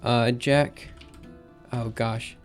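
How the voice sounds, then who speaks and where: A man live-streaming his coding session, thinking aloud in an upbeat, chatty way while typing, his voice a little raspy. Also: medium pitch, flat delivery, extremely slow, soft background music. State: slightly raspy after a drink. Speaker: programming livestreamer. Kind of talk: live coding stream, casual talk-through.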